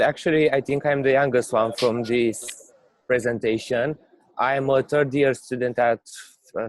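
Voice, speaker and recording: A young man from Romania introduces himself as a student in an online meeting. His voice is mid-pitched and the sound is dry, without room echo. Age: early 20s. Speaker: medical student participant. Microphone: video call.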